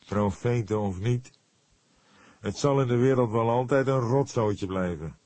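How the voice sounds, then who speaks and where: A man's voice: medium pitch, a little slow, dark tone, no room sound, pitched above the performer's own voice, close to an everyday voice. A man voicing a cynical, mocking police photographer in an audiobook, brash and offhand in his scorn. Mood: scornful.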